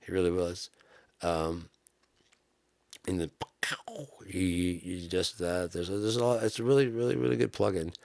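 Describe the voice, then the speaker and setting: A man with a deep, bassy voice rambling loosely to his channel's viewers, with lots of restarts and swearing. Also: fairly even intonation, moderate pace, vocal fry, long pauses, darkish tone, dry recording. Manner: loose, profane, meandering with many restarts. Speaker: channel host. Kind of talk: rambling casual vlog.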